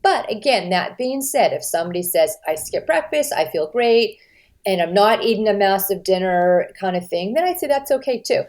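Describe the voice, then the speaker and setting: A middle-aged American woman, a dietitian being interviewed, explaining in an animated, emphatic way with lively swings of pitch. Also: normal speed. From United States. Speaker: registered dietitian, guest. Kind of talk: conversational podcast interview.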